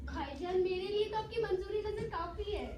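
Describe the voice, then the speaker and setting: An actress playing an anxious, lovestruck young daughter in a stage play, with earnest, formal theatrical phrasing. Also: female voice, very high voice, normal speed. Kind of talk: Hindi-Urdu stage play acting. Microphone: far from the actors. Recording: echoey hall, distant mic, faint noise.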